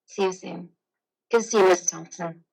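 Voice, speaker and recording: A woman's voice: fairly low pitch, fairly even intonation, a little fast, creaky, darkish tone, slightly rough, rough recording. A woman playing a friendly, encouraging psychiatrist in a dramatic play reading. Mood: friendly, reassuring.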